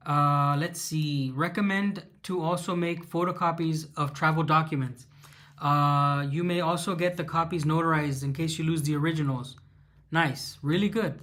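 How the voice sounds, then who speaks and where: A man's voice: fairly high pitch, fairly bright tone. A man with a Mexican accent hosting a casual live Q&A, reading viewer questions aloud and answering them with fillers and restarts.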